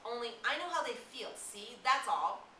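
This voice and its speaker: A woman reading the part of a fierce, obsessive young boy hunter in a storybook, insistent and halting. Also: on the high side, sing-song, pushed and bright, voice pushed up. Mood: uneasy, explaining. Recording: roomy, distant mic, clear hiss.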